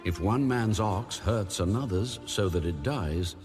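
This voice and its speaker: A man reads scripture in a low voice that rises and falls expressively. His delivery is formal and solemn, with measured phrasing, and faint music sits behind him. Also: expressive. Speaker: Bible audiobook narrator.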